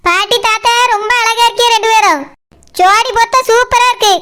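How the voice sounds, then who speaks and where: An extremely high, childlike voice plays a cheeky, affectionate cartoon grandchild. The child is curious and polite, with a Kanyakumari Tamil accent. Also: female voice, fairly even intonation, fairly quick, sharp tone. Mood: admiring. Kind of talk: comic cartoon dialogue.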